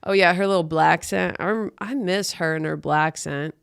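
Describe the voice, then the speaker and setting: A woman's voice: on the low side, normal speed, gravelly, clean tone, no echo, clean studio sound. A woman hosting a comedy podcast, riffing in a blunt, sweary, exaggerated and irreverent way. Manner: blunt, sweary, exaggerated, breaking into mock voices.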